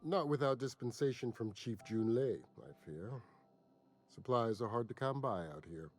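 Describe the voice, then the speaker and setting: Man acting a polite, mid-pitched hospital official. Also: close to an everyday voice. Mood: regretful. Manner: measured, formal, apologetic.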